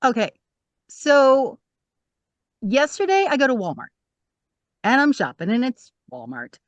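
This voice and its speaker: A woman's voice: high-pitched, big pitch swings, measured pace, dry recording, highs cut off. A woman telling a casual everyday anecdote in a breezy, self-amused way. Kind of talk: casual storytelling.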